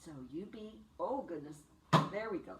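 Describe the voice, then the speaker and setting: A woman in her sixties reading a picture book aloud to young children, phrasing things simply and deliberately and putting questions to her listeners. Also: medium pitch, expressive. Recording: distant mic with faint background noise.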